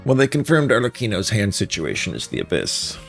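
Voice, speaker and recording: A man's voice: fairly low pitch, huge pitch swings, fairly quick, gravelly, a bit bright. A man giving casual live-stream game commentary in wry, musing asides. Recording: game music behind the voice.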